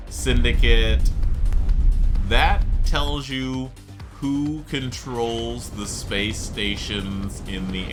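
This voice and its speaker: A man giving casual, rambling video game commentary, drifting off into asides. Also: lowish voice. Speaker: gameplay commentator. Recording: music behind the voice. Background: game music.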